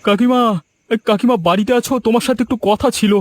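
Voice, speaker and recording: Casting: man as pesky younger village neighbour, comic cartoon style, high-pitched, fast, always needling. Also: fairly even intonation, a little mellow. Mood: coaxing. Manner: needling, complaining. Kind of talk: cartoon voice acting in a village comedy.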